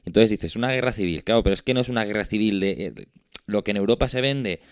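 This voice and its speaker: A man's voice: on the low side, expressive, quick pace, smooth, no echo, studio quality. A man with a Castilian Spanish accent hosting a podcast discussion, explaining things conversationally and often checking in with tag questions. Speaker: podcast host.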